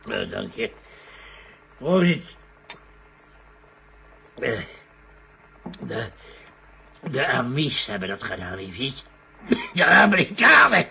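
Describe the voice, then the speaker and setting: An actor playing a tipsy, gloating old scientist, lecherous and rambling, in a vintage radio drama. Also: male voice, medium pitch, very animated, slow, vocal fry, pauses often, sharp tone, slightly rough, exaggerated voice. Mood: boozy, bitter. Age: older. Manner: lecherous, gleeful, rambling, chuckling. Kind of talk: 1970s Dutch radio drama acting. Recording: dull, noisy old radio recording.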